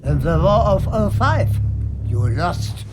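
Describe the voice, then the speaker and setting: An actor playing a weary, idealistic and defiant inventor in a video game cutscene, with a measured, wistful manner. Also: male voice, a little high, sing-song, noisy background. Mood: pointed.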